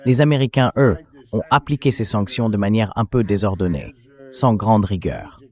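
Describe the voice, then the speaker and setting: A synthetic male voice with a fairly low pitch delivers political commentary. It explains points in a conversational way, as a voice-over for a dubbed interview. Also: expressive, normal speed.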